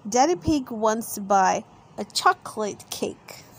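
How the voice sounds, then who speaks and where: Casting: female storybook narrator for young children, high-pitched, unhurried, expressive. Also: slight background noise. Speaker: storybook reader and channel host.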